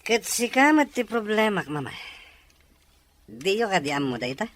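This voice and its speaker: A man's voice: sits high, sing-song, a little slow, slight background noise, full character voice. A man acting a bitter, unhappily married adult son who defies his mother in an Ilocano radio drama, with an Ilocano accent and an accusing manner. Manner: forceful, accusing.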